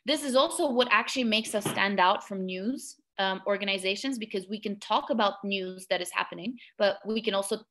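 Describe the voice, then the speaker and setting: A woman's voice: mid-range voice, fairly quick, hardly any pauses, fairly bright tone. A young woman presenting a webinar over a video call, talking casually with fillers and restarts. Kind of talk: webinar talk over slides. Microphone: video call webcam.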